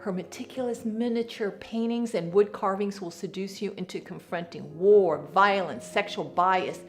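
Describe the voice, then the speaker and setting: Woman, documentary narrator, scripted delivery, average pitch, light music bed. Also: normal speed.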